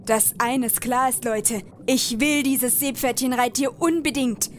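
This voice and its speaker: A woman's voice: on the high side, a little hiss or hum, close to an everyday voice. A woman playing a determined, bossy group leader in a comedic online game audio drama. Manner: brisk, commanding. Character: determined, bossy female gamer leading her group.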